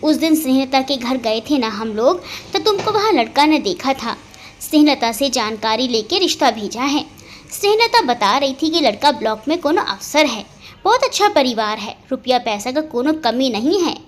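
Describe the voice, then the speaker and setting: A woman voicing an affectionate middle-aged mother with a Bihari accent, gently coaxing, in an audio story. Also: high voice, expressive, normal speed.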